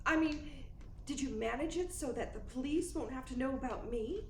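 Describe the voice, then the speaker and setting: A woman's voice: high voice, normal speed, a little mellow. A woman playing a demure, anxious, scheming femme fatale in a radio play, with a theatrical, hesitant, pleading manner. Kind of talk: radio play acting in 1940s noir manner. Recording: roomy, echoey sound with faint noise.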